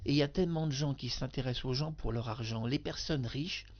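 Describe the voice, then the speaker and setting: Male video commentator, gravelly, circles back and insists, muffled home recording. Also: mid-range voice, quick pace. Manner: emphatic, addresses the singer directly, repeats himself. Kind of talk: rambling spoken commentary to camera.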